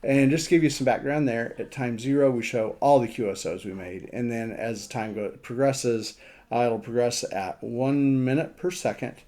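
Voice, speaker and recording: A man giving a casual screen walkthrough as a radio hobbyist, thinking aloud in a halting way. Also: mid-range voice, darkish tone. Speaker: amateur radio operator presenting a map demo.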